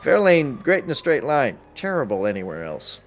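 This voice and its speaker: Guy talking a lot during a game, casual explainer, sweary, average pitch. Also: huge pitch swings, moderate pace. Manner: talkative, explanatory, freely swearing. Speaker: gamer in a role-play server. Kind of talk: casual chat while gaming.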